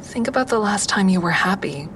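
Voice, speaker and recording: A woman playing a calm, candid crisis line counselor in an audio drama, speaking in a measured, consoling way. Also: mid-range voice, noisy background, lightly acted. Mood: firm, encouraging. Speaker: crisis line counselor and inner-monologue narrator. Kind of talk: audio drama acting with first-person asides.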